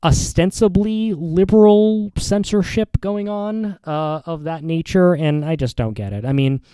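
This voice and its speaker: A man hosting a podcast, giving casual opinion commentary in a halting, rambling way with repeats and self-corrections. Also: sits high, mellow, clean tone, no room sound. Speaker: podcast host.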